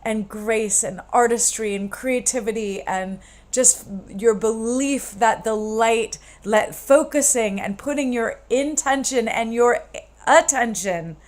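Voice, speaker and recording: Effusive woman gushing warm praise. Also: mid-range voice. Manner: effusive, gushing, trailing off softly. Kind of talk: heartfelt casual chat.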